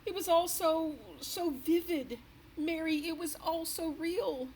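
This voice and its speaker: A refined, imperious queen, as a woman reading aloud puts her on, high and exclamatory with very lively swings in pitch. She speaks with genteel authority. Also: normal speed, slight background noise, low-quality recording. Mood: distressed. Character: distressed, imperious older female queen.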